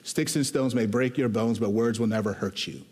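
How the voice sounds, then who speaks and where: Pastor preaching, chatty and animated, mid-pitched voice, long echo from the church hall. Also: male voice, husky. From Houston, Texas. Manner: conversational and animated, shifting between quick asides and slow emphatic lines. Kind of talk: sermon.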